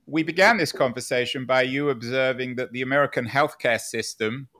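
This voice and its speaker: A man's voice: mid-range voice, brisk, pushed and bright. Probing male interviewer. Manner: probing, pushes back. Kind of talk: interview questions.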